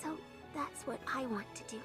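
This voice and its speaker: A woman's voice: high-pitched, normal speed, music in the background, lightly acted. A woman voicing a sweet, earnest and brave young orphan girl in an English-language video game. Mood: earnest, determined.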